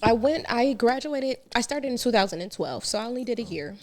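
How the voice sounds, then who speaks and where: A woman in her thirties from Trinidad, a business founder talking as a podcast guest in a relaxed, candid way and laughing easily. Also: fairly high pitch, fairly quick. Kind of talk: casual podcast interview.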